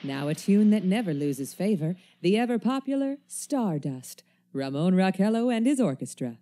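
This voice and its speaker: An actress playing a poised, formal 1930s radio announcer presenting dance music in a radio play. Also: female voice, mid-range voice, sing-song, moderate pace, warm tone, close to an everyday voice.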